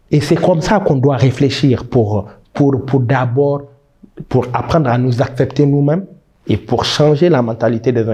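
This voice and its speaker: A man's voice: medium pitch, dark tone, highs cut off. A man in his thirties from Niger, answering interview questions with emphatic, passionate talk, often restarting words. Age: 30s. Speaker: guest.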